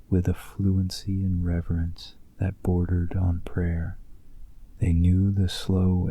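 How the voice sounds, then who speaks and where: A man narrating a guided sleep meditation and bedtime story in a soothing, hushed, measured delivery. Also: sits low, breathy edge. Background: music bed behind the voice.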